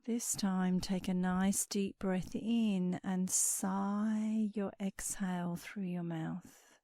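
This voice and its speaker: Slow, mellow woman guiding sleep meditation. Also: mid-range voice, fairly even intonation, no room sound, studio quality. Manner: soothing, unhurried, with breathy phrase endings. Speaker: guided meditation instructor. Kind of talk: guided sleep meditation narration.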